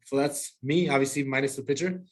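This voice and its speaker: Easygoing Army sergeant from El Paso. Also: male voice, medium pitch, fairly even intonation, a little fast. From El Paso, Texas. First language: Spanish. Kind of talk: presentation to students.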